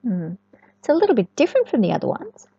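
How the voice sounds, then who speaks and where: A woman reading a storybook to young children in an expressive, sing-song way, often chatting and asking the listener questions. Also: on the high side, fast, vocal fry, husky. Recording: echoey room, dull lo-fi sound.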